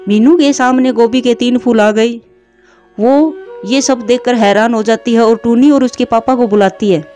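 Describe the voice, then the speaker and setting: A woman's voice: a little high, normal speed, a little mellow, soft background music. A woman narrating a scripted children's story.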